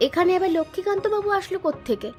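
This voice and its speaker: A woman's voice: very high voice, expressive, racing. A woman voicing a snappish, impatient young village wife in a cartoon, curt and scolding. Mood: irritated. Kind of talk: cartoon voice acting. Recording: music behind the voice.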